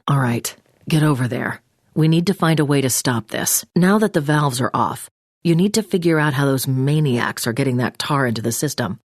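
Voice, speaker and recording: An actress playing a stern, controlling government agent who gives clipped, no-nonsense orders as a mission handler in a video game. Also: female voice, low-pitched, no echo, studio quality, lightly acted. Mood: insistent.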